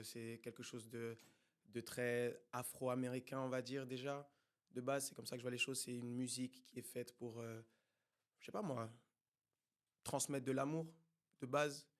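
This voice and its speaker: A man's voice: medium pitch, a bit bright, clear, resonant tone. A male singer from Congo talks as a guest on a podcast. He chats loosely and rambles, with a joking, easygoing manner. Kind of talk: casual podcast chat.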